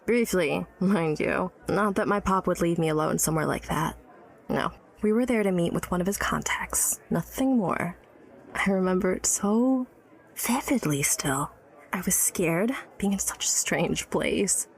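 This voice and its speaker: A woman's voice: on the low side, expressive, measured pace, creaky. An actress playing an educated, tipsy, wry woman of early-1900s New York in a period audio drama, teasing and guarded. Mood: wistful storytelling. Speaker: storyteller recalling her childhood.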